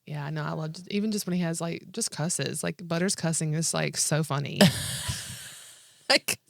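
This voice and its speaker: A woman's voice: deep voice, moderate pace, creaky, dry recording, studio quality. A woman in her thirties co-hosting a podcast in a casual comedic chat, speaking candidly with lots of slang and frequent filler "like". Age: 30s. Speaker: podcast co-host.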